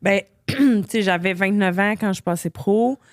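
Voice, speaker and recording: A woman's voice: medium pitch, unhurried, dry recording. Woman, 30s, Québécois accent, chatty, filler-heavy, dry close sound. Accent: Québécois French. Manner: chatty, frequent fillers, laughs easily. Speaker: guest, professional boxer. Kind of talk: casual conversation.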